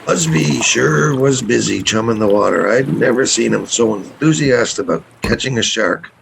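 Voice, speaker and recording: A man playing a gruff, gravelly, authoritative boat captain in a radio play. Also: mid-range voice, moderate pace, noisy background. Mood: matter-of-fact. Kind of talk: radio play acting and narration.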